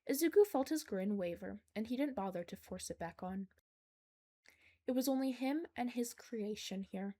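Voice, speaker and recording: A woman's voice: a little high, expressive, normal speed, dark tone. Woman narrating a story. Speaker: fan fiction reader.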